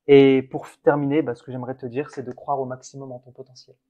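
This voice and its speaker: A man's voice: mid-range voice, little pitch movement, fast talker, muffled recording. A man co-hosting a casual motivational podcast, talking in an earnest, encouraging way with frequent restarts. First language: French. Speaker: podcast co-host.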